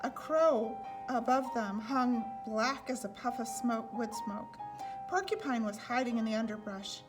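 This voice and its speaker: A woman's voice: a little high, unhurried, music in the background. A woman reading a children's picture book aloud as a storyteller.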